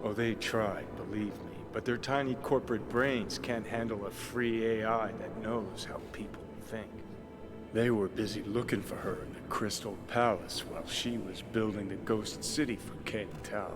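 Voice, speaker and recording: An actor playing a cocky, sardonic rebel rocker in a video game, with a brash, swaggering manner. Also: male voice, fairly low pitch, steady tone, moderate pace, lightly acted. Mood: sardonic, boastful. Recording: game audio with clear background music.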